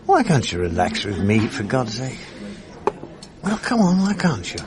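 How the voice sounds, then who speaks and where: A man's voice: medium pitch, wildly swooping pitch, a little slow, background noise. A man playing a wry, world-weary, middle-aged English husband in a radio drama. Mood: irritable. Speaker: actor, narrator of the drama. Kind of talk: 1980s British radio drama acting.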